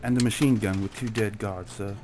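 Casting: male actor for deferential butler, audio play, fairly low, formal, over loud music. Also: steady tone, lightly acted. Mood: concerned, formal. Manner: deferential, measured wording. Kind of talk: audio drama acting.

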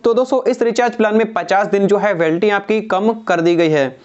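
Brief: young man with a high voice, steady explainer who keeps calling viewers friends, slightly dull lo-fi lapel sound. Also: a little fast. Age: young adult. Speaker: tech channel host. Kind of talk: explaining phone recharge plans to camera. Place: small indoor room, red backdrop. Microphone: clip-on lapel mic.

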